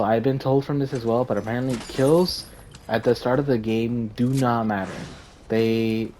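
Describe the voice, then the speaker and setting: A man's voice: mid-range voice, background noise. A young man with a tired voice, talking casually over his gameplay in a rambling way, with lots of fillers and restarts. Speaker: gaming YouTuber.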